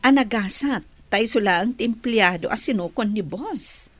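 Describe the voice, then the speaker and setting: A woman's voice: medium pitch, expressive, unhurried, a bit bright, no room sound. A woman playing a teasing, gossipy office coworker in a Filipino radio drama.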